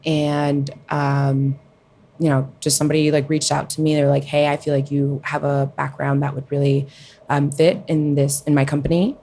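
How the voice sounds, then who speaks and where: A woman's voice: deep voice, flat delivery, moderate pace, vocal fry, warm tone. A woman in her twenties, raised in Colombia and New Jersey with Spanish as her first language, chatting informally as a podcast guest. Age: 20s. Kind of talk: casual chat, personal storytelling.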